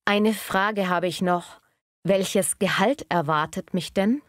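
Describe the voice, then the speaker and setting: A woman acting a polite, eager bookkeeper at a job interview in a scripted language-learning dialogue, speaking courteously and clearly. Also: medium pitch, slow pace, no room sound, studio quality, natural voice. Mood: polite, pleased.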